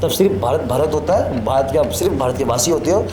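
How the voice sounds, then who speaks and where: A man's voice: medium pitch, expressive, fast talker. A man with an Indian accent giving blunt, emphatic opinions in casual chat, often repeating himself. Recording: echoey room with crowd chatter.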